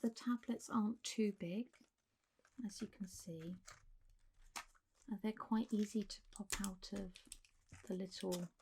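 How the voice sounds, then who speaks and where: Slow, mellow, soft-spoken woman in ASMR roleplay. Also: medium pitch, steady tone, a little hiss or hum. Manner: gentle, reassuring, unhurried doctor's bedside talk. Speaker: ASMR performer playing a clinic doctor.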